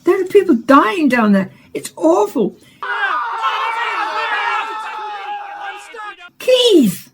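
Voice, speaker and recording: An actress playing a dramatic, urgent female news reporter with a husky voice in a radio play. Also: extremely high pitch, very animated, unhurried, noisy background, heavily acted. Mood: panicked, sobbing. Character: female field radio reporter, distressed witness of alien invasion.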